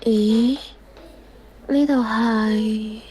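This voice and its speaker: A woman's voice: a little high, steady tone, extremely slow, darkish tone, a little hiss or hum, rough recording. A woman playing a heartbroken, devoted teenage schoolgirl in an audio drama, with pleading, emotional delivery. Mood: weak, dazed. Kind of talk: Cantonese audio drama acting.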